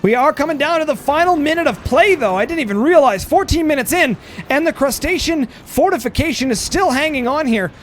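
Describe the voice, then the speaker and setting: Hoarse, high-voiced male game caster. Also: a little fast, a little hiss or hum. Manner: energetic, rambling, many fillers and restarts. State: hoarse, losing his voice. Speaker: game commentator. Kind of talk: live play-by-play commentary.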